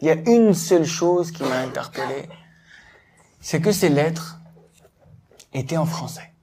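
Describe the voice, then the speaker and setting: Animated stand-up comedian, man. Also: a little high, measured pace, crowd noise. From Algerian family. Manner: animated, building to shouted punchlines. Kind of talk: stand-up routine.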